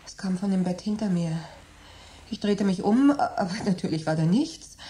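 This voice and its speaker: Woman voicing a tough brisk woman, mellow tone, radio drama. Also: medium pitch, animated, lightly acted. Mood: shaken. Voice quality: brisk, matter-of-fact delivery. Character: tough, sharp-tongued woman named Margaret. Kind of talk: radio play acting.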